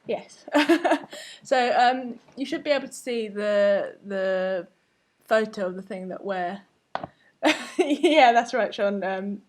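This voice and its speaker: A woman's voice: mid-range voice, animated, slow. A woman hosting a live painting stream, chatting casually as she works, laughing often and sometimes losing her thread. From Finnish family. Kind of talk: casual chat while painting.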